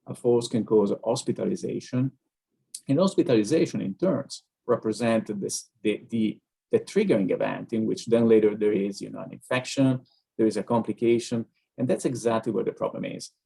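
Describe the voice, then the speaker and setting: A man with an Italian accent, a neurologist explaining things on a video-call webinar, pausing often with hesitant fillers. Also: on the low side, creaky, mellow, slightly rough, rough recording. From Italy.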